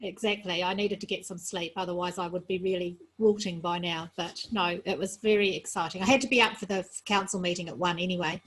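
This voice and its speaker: A woman from New Zealand, a sports federation president, talking as an interview guest in a measured, earnest way, tired after being up all night. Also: lowish voice, fairly even intonation, fairly bright tone, a little hiss or hum, low-quality recording.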